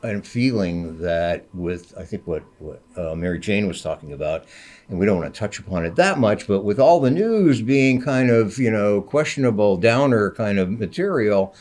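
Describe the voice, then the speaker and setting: An older man with a deep, resonant radio voice, a public radio host chatting casually, unhurried and full of fillers. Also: lively intonation, a little mellow. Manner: unhurried, many uh fillers, jokey. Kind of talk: casual radio studio chat.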